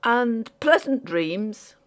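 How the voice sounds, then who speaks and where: A woman voicing a blustering, old-fashioned army major, a Victorian gentleman in a storybook. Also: fairly high pitch, animated, extremely slow, voice pushed up. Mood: cordial.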